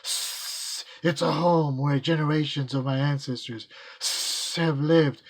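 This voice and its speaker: A man's voice: fairly high pitch, fairly even intonation, slow pace, rough recording. A man voicing a solemn boa constrictor who hisses and drags out his words. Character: hissing old boa constrictor, slow and solemn.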